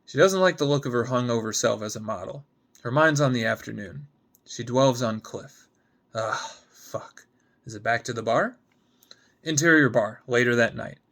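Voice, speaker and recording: Male narrator, average pitch, screenplay stage directions, dramatic reading, scripted delivery. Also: a bit bright.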